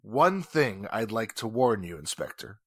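Actor as deliberate, bitter 1940s radio businessman. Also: fairly low pitch, very animated, a bit bright, no room sound, studio quality, full character voice. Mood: grave warning. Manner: deliberate, emphatic, formal phrasing. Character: indignant, formal wealthy businessman, suspicious and bitter divorced husband. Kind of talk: 1940s radio drama acting.

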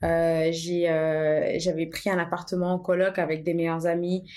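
A woman's voice: on the low side, flat delivery, normal speed, darkish tone. A young woman from Portugal, co-hosting a podcast about her brand, talking in a hesitant, earnest way with many fillers. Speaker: podcast co-host, brand founder. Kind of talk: casual conversational podcast.

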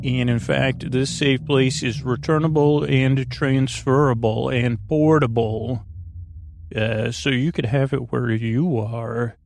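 A man with a creaky voice hosting a bedtime podcast in a meandering, halting, drawn-out monologue that keeps wandering off on tangents. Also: mid-range voice, steady tone, a little mellow. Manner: hesitant, halting, drawn-out, digressive. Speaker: podcast host.

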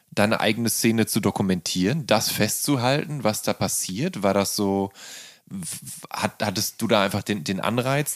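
Male interviewer, lowish pitch, dry close sound, informal tentative phrasing, conversational. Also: no echo.

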